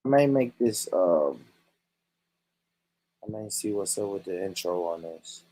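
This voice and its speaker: A man's voice: low voice, lively intonation, unhurried, warm tone, poor audio. A man hosting a vlog, chatting loosely. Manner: laid-back, addressing viewers. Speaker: vlogger host. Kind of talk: casual vlog chat.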